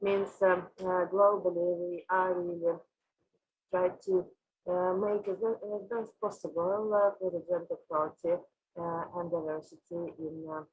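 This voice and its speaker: A woman from Russia with a Russian accent, answering interview questions as a company executive, haltingly and with frequent filled pauses. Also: on the low side, breathy edge, narrow, tinny audio. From Moscow, Russia. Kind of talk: interview answers on an online panel.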